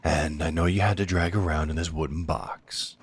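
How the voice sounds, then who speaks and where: A man playing a duke's loyal, blunt werewolf companion in a fantasy audio drama, with a sardonic, familiar way of talking and a deep, husky voice. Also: steady tone, normal speed. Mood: reassuring, sly. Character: loyal, blunt, defiant werewolf right-hand man to a duke.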